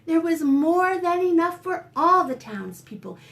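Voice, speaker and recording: A woman with a high voice reads a picture book to preschoolers at a slow, careful pace. She is expressive, slipping in dramatic gasps and hushed asides, and speaks gently to her young listeners as a children's librarian would. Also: creaky.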